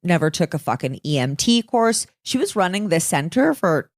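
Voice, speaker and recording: A woman's voice: on the low side, very animated, normal speed, no echo, studio quality. A woman hosting a podcast, telling stories in an informal, sweary, chatty way. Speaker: podcast host. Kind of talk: casual storytelling chat.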